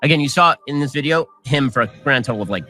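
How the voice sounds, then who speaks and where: A man giving quick, pointed and sardonic commentary on a video. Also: medium pitch, expressive, sharp tone, soft background music. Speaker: commentator in a played clip.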